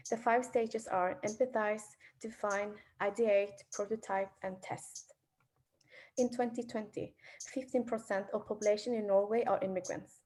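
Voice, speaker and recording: A woman's voice: medium pitch, steady tone, normal speed, darkish tone, poor audio. Young woman. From Vietnam. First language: Vietnamese. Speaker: student presenter. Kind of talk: reading a research presentation.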